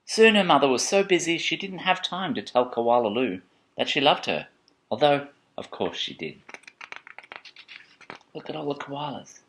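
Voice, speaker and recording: A man reading a children's picture book aloud in an expressive, playful way, with chatty asides to a child. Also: on the high side, moderate pace, slight background noise. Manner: expressive, playful, dramatic storybook emphasis. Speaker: parent reading a bedtime story to a child.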